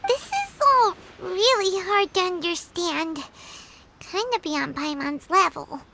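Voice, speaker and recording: A woman's voice: very high-pitched, lively intonation, slow, gravelly, sharp tone, exaggerated voice. A woman voicing a small, chatty, childlike female companion creature in a video game, with a chirpy, casual manner.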